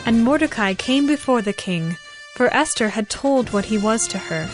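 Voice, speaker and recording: A woman giving a formal, measured, scripted narration of a dramatized scripture story. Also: on the high side, animated. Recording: music behind the voice.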